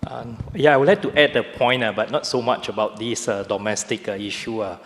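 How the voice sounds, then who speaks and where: A man with a Malaysian accent speaking as a panelist, animated and peppered with hesitations and tag questions. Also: on the low side, brisk. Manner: animated, lots of filler uh, ends with right?. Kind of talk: panel discussion. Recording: reverberant hall.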